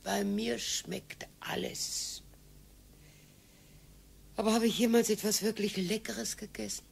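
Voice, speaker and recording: An actress playing a fed-up older wife who is sharp-tongued and scornful, with a husky voice, in a radio drama. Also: female voice, medium pitch, fairly even intonation, takes it slowly, heavily acted. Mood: sour.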